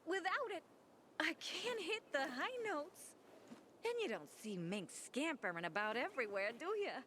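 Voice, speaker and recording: A vain theatrical diva. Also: female voice, high-pitched, big pitch swings, moderate pace, bright, forceful tone, slight background noise, heavily acted. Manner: theatrical, refers to herself in third person. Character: flamboyant vain diva singer, dramatic. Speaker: singer. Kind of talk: video game dialogue.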